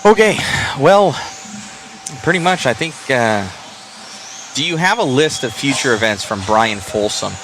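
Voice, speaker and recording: A man's voice: medium pitch, lively intonation, measured pace, long pauses, a bit bright, slight background noise, low-quality recording. A tired man hosting a livestream, chatting loosely and in a friendly way to wrap up an event at an indoor race track. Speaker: livestream host. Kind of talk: casual end-of-event chat.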